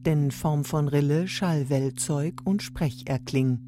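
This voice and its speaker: A quirky audio guide for an experimental radio play. It delivers clipped instructions slowly and deadpan, in a mellow tone, over a faint noisy hum. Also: female voice, low voice. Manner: deadpan, clipped broken grammar.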